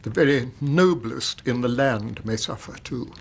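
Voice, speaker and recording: Gravelly, distraught English banker. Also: male voice, mid-range voice. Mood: despairing. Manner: halting, formal, weighty. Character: distraught, pompous Victorian banker, about fifty. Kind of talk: radio drama acting.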